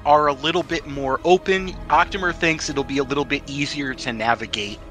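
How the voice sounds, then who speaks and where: Man, game master, bright forceful voice, fairly high pitch, conversational tabletop narration. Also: a little fast, soft background music.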